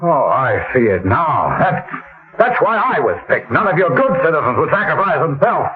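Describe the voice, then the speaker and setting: An actor playing an earnest, bewildered small-town mayor in an old-time radio drama, speaking haltingly and theatrically. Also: male voice, fairly high pitch, big pitch swings, breathy edge, heavily acted. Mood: shocked, dismayed. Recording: muffled vintage radio recording, faint music.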